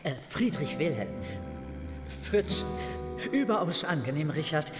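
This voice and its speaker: An actor playing an eccentric, bookish young philosopher with a wry, self-important theatrical manner in a radio play. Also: male voice, fairly high pitch, very animated, a little slow, over loud music. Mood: excited, polite. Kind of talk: dramatic reading of letters in radio play.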